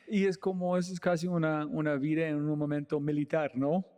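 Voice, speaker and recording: A man hosting a podcast, asking his guest curious, upbeat questions in a relaxed interview. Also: on the high side, normal speed, in a large hall.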